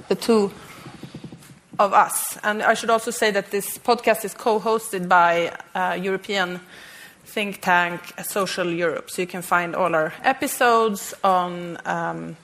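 A woman's voice: on the low side, fairly bright tone, a little hiss or hum. A woman hosting a live podcast on stage, introducing guests with frequent ums. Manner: fluent with frequent filler ums. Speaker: podcast host. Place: stage before a live audience.

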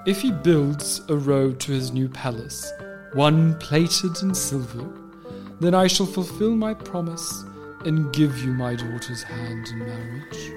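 A man's voice: medium pitch, takes it slowly, close to an everyday voice. A man playing a proud, demanding king in a folktale radio play. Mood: demanding, imperious. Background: music.

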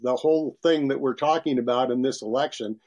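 A man in his late seventies with an American accent. He talks as an ordinary voter, giving opinions in an informal interview at a middle pitch for a man. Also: fairly quick, a little mellow.

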